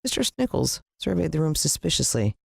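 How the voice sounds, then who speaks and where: A woman with an American accent reading a storybook as scripted narration, expressive and suspenseful, with deliberate pauses. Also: deep voice, creaky, husky, no echo, studio quality. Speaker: audiobook narrator.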